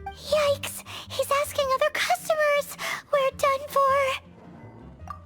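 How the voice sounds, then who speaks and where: A woman voicing a tiny, excitable female fairy companion in a video game, chirpy and exclamatory, calling herself by name. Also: extremely high pitch, takes it slowly, loud music behind the voice. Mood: panicked. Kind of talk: video game dub acting.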